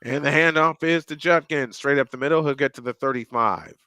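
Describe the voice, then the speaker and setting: Guy calling football play by play, bright crisp voice, dry close mic. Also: medium pitch, brisk, no echo. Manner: folksy, chatty storyteller between plays. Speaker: play-by-play announcer. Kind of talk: fan livestream play-by-play commentary.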